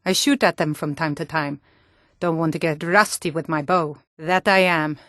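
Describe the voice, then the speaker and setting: A woman's voice: sits low, fairly even intonation, moderate pace, a bit bright, no echo. Guarded woman archer, dry close sound. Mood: guarded, matter-of-fact. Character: guarded, self-reliant young woman archer living in the wilds. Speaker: archery instructor. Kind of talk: video game character dialogue.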